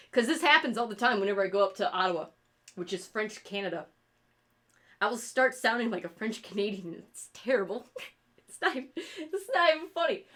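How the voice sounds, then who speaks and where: A woman's voice: on the high side, a bit bright. A woman from rural New York with her own regional New York accent, chatting loosely while she draws on a livestream and swearing often. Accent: upstate New York. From rural New York State. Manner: rambling, swears a lot, laughs at own jokes. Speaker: streamer and artist. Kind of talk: casual livestream chat while drawing.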